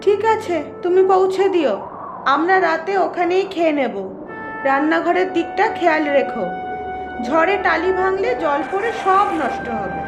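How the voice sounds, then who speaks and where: Very high-pitched, scolding village housemaid. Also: female voice, animated, moderate pace. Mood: familiar, brisk. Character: chatty village housemaid and nagging wife and mother. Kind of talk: Bengali cartoon voice acting. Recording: roomy echo, loud music bed. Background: music.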